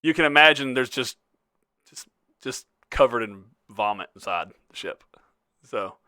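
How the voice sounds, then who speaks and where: A man runs a tabletop game as game master. His voice is bright and rises and falls a lot, and he swears casually and laughs often. The recording is dry and close. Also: mid-range voice, lively intonation, measured pace, dry recording.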